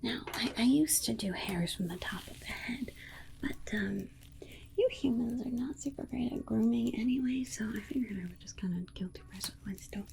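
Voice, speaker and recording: A woman playing a polite, condescending alien scientist in an ASMR roleplay, whispering in a soothing, coaxing manner with breathy delivery. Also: on the high side, normal speed, darkish tone, low-quality recording. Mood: coaxing, reassuring. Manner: soothing, coaxing, hesitant asides. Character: alien scientist, polite, reassuring, breezily condescending toward humans.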